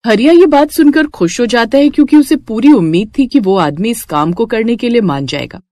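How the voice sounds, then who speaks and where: A woman's voice: on the high side, lively intonation, fairly quick, warm tone, a little hiss or hum. A woman reading scripted story narration as a storyteller.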